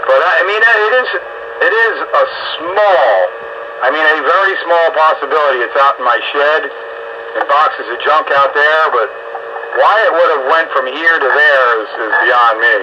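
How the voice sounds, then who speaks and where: A man's voice: fairly high pitch, normal speed. Man on a muffled, noisy radio link. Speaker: amateur radio hobbyist. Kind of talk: casual chat over two-way radio.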